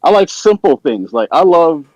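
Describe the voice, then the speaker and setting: A man's voice: on the high side, sing-song, normal speed, darkish tone, dry recording. An American man chatting casually on a podcast, rambling and searching for words, in a slightly muffled, dry recording. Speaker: podcast participant. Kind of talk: casual chat.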